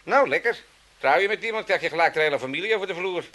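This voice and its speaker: Elderly father, Amsterdam accent, cheeky and self-pitying, swooping intonation, sharp bright tone, folksy rambling, radio comedy. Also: high voice, expressive, fast talker. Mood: aggrieved. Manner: rambling, stammering, folksy and blustering. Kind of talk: 1980s Dutch comedy radio play acting.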